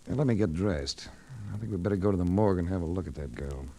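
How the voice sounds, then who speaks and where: Deep-voiced, wry radio private eye. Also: male voice, dark tone. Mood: calm, probing. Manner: dry, wry, measured. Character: cool, wry male private investigator narrating his case. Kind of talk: 1940s radio detective drama acting. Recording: noisy vintage 1940s radio recording.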